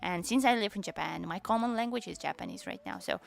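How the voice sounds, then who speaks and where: A young woman whose first language is Tatar, chatting casually on a stream, halting and searching for words, with many fillers. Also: mid-range voice, lively intonation, creaky. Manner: switches between Japanese and English. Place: lives in Japan.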